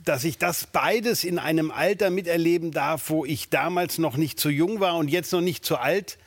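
A man in his fifties, a guest actor, joking and chatting in a measured way. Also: on the high side, no echo. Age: 50s. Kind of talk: casual interview chat.

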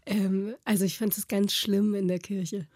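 A woman's voice: medium pitch, flat delivery, moderate pace, darkish tone, slightly rough, no echo, clean studio sound. A woman in her thirties from northern Germany, talking casually as a guest in an interview, full of fillers and frequent laughs. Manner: chatty, laughs often, many fillers.

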